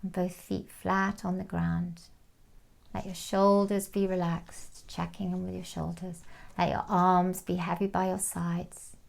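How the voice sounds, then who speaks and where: Slow, creaky-voiced woman guiding meditation. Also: fairly low pitch. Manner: calm, soothing, unhurried with long pauses. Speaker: meditation guide. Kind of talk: guided meditation, gentle instruction.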